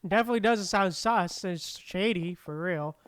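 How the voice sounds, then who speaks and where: A man's voice: high voice, moderate pace, a bit bright, a little hiss or hum. A man giving casual live reactions as a game streamer, slangy and wry, often in short interjections. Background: faint game music.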